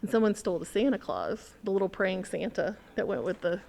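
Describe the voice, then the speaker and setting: A woman's voice: medium pitch, animated, fairly quick, creaky, darkish tone, slight background noise. A woman telling a story in casual conversation as a podcast guest, animatedly acting out what people said.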